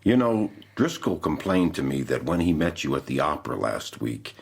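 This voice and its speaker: A detective boss in a radio mystery, with an extremely low voice full of gravel. He probes with dry curiosity, his husky tone rising and falling freely. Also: animated, moderate pace, heavily acted. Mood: dry, knowing. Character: male detective chief, probing, dryly curious. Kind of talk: radio drama acting.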